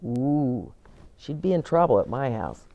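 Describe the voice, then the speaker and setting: An older woman reading a picture book aloud and speaking deliberately and simply, as if to small children. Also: very deep voice, very animated, dull audio. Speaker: children's storytime reader and host. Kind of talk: expressive picture-book read-aloud with asides to young children. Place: indoor bookshop-like set with shelves, seated in armchair.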